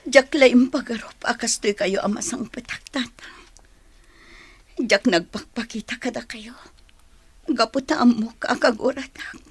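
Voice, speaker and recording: A woman's voice: on the high side, steady tone, a little slow, long pauses, slightly rough, dry recording. A woman with an Ilocano accent playing an earnest, homesick grown daughter in a radio drama, with sighing, emotional delivery. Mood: tearful, forgiving.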